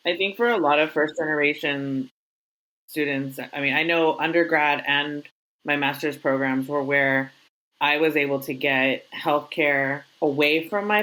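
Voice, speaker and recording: Low-voiced woman chatting casually. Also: fairly bright tone, low-quality recording. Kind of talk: casual personal conversation.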